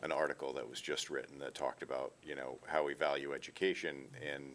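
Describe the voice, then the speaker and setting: A man, a board member, asking questions at a public meeting in a halting way with many pauses and fillers. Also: rumbling low, little pitch movement, a bit bright, slight background noise. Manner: halting, lots of ums.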